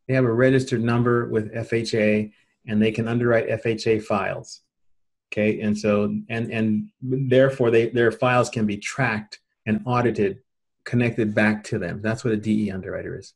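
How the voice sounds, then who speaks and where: A man's voice: medium pitch, steady tone, normal speed. Plain-spoken middle-aged American man presenting. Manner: plain-spoken, colloquial, explanatory. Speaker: webinar presenter. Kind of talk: informal training presentation.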